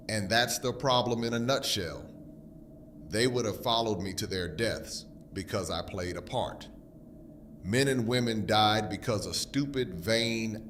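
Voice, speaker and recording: A man's voice: fairly low pitch, fairly bright tone, a little hiss or hum. A man playing a grave, grief-stricken fallen king who blames himself, speaking theatrically in an audio drama. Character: grief-stricken, self-blaming fallen king, formerly a zookeeper.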